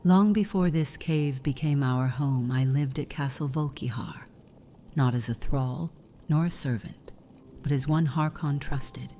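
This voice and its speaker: A woman playing a solemn vampire mother in a fantasy game roleplay, speaking in a grave, measured, breathy voice that often nears a whisper. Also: a bass voice, warm tone, faint music behind. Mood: grave confiding. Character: solemn vampire mother confessing her past to her daughter. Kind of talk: scripted fantasy roleplay dialogue.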